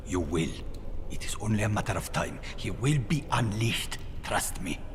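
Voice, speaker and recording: An actor playing a zealous cult prophet in a video game, with a fervent, solemn, declamatory manner. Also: male voice, lowish voice, animated, normal speed, background noise, natural voice. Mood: assured. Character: zealous male cult prophet of a dark god. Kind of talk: dramatic video game cutscene acting. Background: music.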